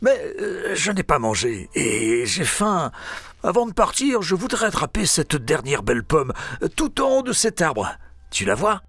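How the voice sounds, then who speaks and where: A crafty, high-pitched raspy old miser. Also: male voice, very animated, unhurried, a bit bright, no room sound, exaggerated voice. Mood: wheedling. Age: older. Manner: sly, hesitant wheedling, cackling. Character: crafty, gloating old male Irish miser blacksmith.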